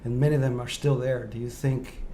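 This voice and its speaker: Very deep-voiced woman hosting an interview. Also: fairly even intonation, fairly quick, warm tone, a little hiss or hum. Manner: rambling, rephrases questions mid-sentence. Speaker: interview host. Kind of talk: casual interview conversation.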